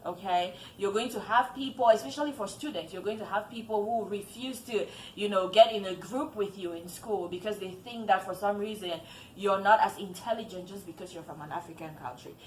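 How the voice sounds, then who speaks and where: A woman's voice: medium pitch, brisk, a little mellow, a little hiss or hum. A woman from Cameroon giving earnest advice to camera in a vlog, often repeating phrases for emphasis. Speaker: vlogger. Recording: echoey room with faint ambience.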